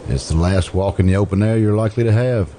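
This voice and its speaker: A man's voice: fairly low pitch, fast, dark tone, lightly acted. An actor playing a stern, suspicious man guarding a murderer in an American radio drama, blunt and accusing. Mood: wary, curt. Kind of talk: old-time radio drama acting. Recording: amateur radio recording, faint background noise.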